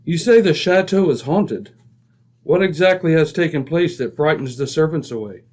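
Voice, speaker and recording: A man playing a composed, observant British gentleman detective, crisp, dry and a little superior, in an old-time radio drama. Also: on the high side, animated, moderate pace, close to an everyday voice. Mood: inquisitive.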